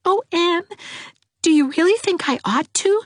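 A woman's voice: very high voice, lively intonation, unhurried, no room sound, clean studio sound, pitched above the performer's own voice. A woman voicing a timid, tearful young woman, sweet and coaxing, in a pleading, tremulous manner. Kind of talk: audiobook character voice.

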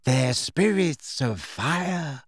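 Man doing dreamy schoolgirl, solemn conviction, drawn-out words, lifted pitch, audiobook character. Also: lively intonation, no echo, clean studio sound, exaggerated voice. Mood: awed, solemn. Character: solemn, dreamy, stubbornly credulous teenage schoolgirl.

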